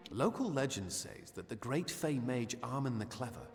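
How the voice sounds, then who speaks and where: A naive young dreamer in a fantasy video game. His delivery swings widely in pitch, earnest and full of self-importance. Music plays beneath his words. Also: big pitch swings, moderate pace. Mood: informative, eager. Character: naive, eager, boastful young man dreaming of magic. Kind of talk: video game character acting.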